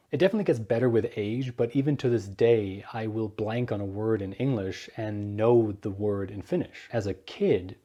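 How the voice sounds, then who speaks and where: A young man in his twenties with a warm, mellow voice, talking straight to the camera. He explains things earnestly and hands out direct, practical advice in a personal vlog. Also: lowish voice. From Germany. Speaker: vlogger.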